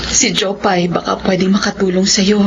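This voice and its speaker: A woman's voice: mid-range voice, flat delivery, normal speed, close to an everyday voice. An actress with a Filipino accent playing an earnest, worried mother recovering from illness in a sentimental radio drama. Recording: dull lo-fi audio, clear background noise.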